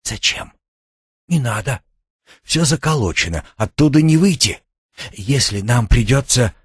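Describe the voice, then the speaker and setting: A man's voice: medium pitch, animated, a little slow, slightly rough, dry recording, studio quality. A man voicing a frightened, snappish teenage girl in an audiobook, nervous and protesting. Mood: anxious.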